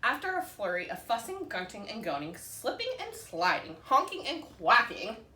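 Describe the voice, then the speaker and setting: Bright, animated woman reading a children's story. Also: fairly high pitch, moderate pace, recorded from a distance. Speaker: storytime reader. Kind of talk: expressive children's story narration. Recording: roomy echo with faint background noise.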